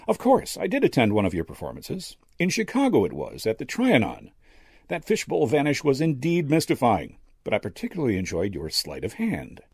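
A man voicing a kindly old medium and former actor, gentle, courtly and theatrical, in an audiobook story. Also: mid-range voice, very animated, normal speed, no room sound, voice pushed up. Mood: warm, gracious. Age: older. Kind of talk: character dialogue in an audiobook story.

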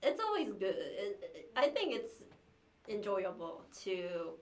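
A young woman whose first language is Korean, sick with the flu, chatting with livestream viewers in a small room, halting and restarting often with light laughs. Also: medium pitch, big pitch swings. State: has the flu. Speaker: cellist streamer. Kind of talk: casual livestream chat reading viewer messages. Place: small practice room indoors.

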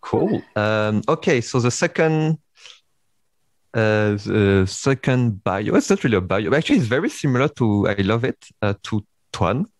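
A man's voice: on the low side, expressive, normal speed, dry recording. A man with a Vietnamese accent hosting a podcast interview, speaking in a hesitant way full of fillers and restarts. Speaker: podcast host. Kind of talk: casual interview chat.

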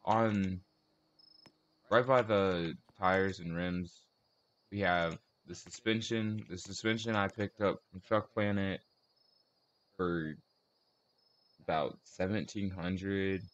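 A man giving casual video commentary as host of a car tour, speaking in halting short phrases and correcting himself. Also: low voice, fairly even intonation, dull audio.

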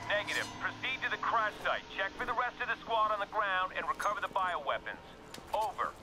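A man playing an authoritative, urgent military radio handler in a video game, brisk and directive. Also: high-pitched, expressive, noisy background. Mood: tense, directive. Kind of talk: dramatic video game acting.

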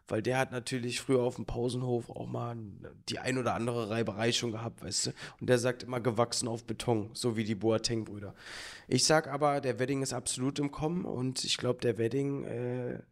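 A man's voice: medium pitch, fairly quick. A young man with a Berlin accent, co-hosting a casual podcast in a studio, telling jokey stories into a handheld mic. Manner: joking, animated storytelling. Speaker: podcast co-host. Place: studio with green plant wall. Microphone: handheld dynamic mic.

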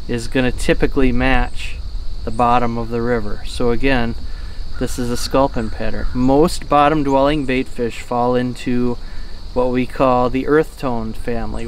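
Middle-aged guy explaining fishing flies by a river, easygoing, um's and uh's, bit of bug noise. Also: mid-range voice. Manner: calm, plain explanatory delivery with fillers. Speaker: fly fishing guide. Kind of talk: outdoor instructional talk to camera. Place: outdoors by a river. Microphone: camera at close range.

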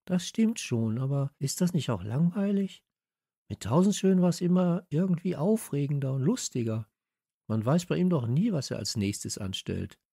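A man's voice: a little high, animated, normal speed, gravelly, warm tone, husky, dry recording, clean studio sound, pitched above the performer's own voice, heavily acted. A man voicing an assertive, sceptical young girl in a pert, challenging way for a children's audiobook. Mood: thoughtful.

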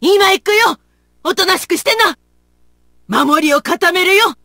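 Bright, plucky big-sister warrior. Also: female voice, very high voice, a little slow, no echo, studio quality, exaggerated voice. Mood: rousing. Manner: folksy, motherly tough turns of phrase. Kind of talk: video game battle voice lines.

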